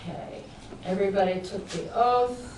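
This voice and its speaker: A woman chairs a town board meeting, reading out rules and calling votes in a formal, measured way. She sounds picked up from well away from the microphone. The room is echoey and a clear hiss sits under her voice. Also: mid-range voice, darkish tone. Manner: formal, measured, with light asides. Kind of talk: reading meeting procedure aloud. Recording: echoey, distant, noisy public-access broadcast.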